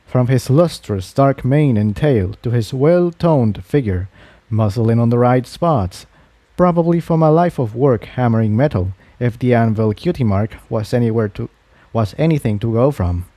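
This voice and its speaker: A man's voice: medium pitch, lively intonation, normal speed, warm tone, dry recording. A man reading a scripted adventure story aloud as its narrator. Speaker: audiobook narrator.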